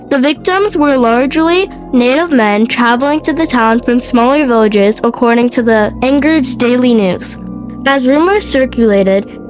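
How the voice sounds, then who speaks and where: High-voiced congested young woman podcaster. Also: low-quality recording. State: sick with a cold. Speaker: podcast co-host and storyteller. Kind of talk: podcast storytelling, part scripted, part casual chat.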